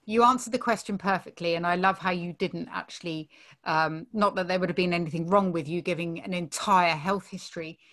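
A woman's voice: fairly low pitch, fairly even intonation, fairly quick. Encouraging woman podcast host. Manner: warm-hearted, encouraging, occasional swearing. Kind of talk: casual interview chat.

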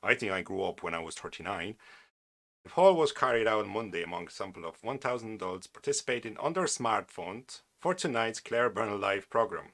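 Male podcast host, 40s, non-native English speaker, lowish voice, sharp delivery, opinionated commentary. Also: lively intonation, fairly quick. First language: not English. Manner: opinionated, wry, with frequent restarts and asides. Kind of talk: casual commentary reading a news article aloud.

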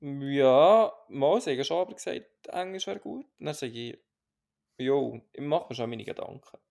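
Gravelly Swiss German man, calm. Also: mid-range voice, moderate pace. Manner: calm, searching, many restarts. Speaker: podcast co-host. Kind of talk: casual reflective chat.

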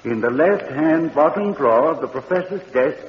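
An actor playing a kindly old morgue keeper who speaks with the dead, in a soft, earnest, patient manner, in 1940s radio drama style. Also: male voice, a little high, lively intonation, moderate pace, natural voice. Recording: dull old radio recording in a reverberant studio, faint hiss.

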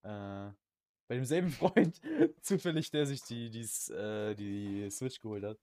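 A man's voice: on the low side, unhurried, dark tone. A young man chatting casually as a podcast co-host, rambling in slang and often repeating his words. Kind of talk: casual chat.

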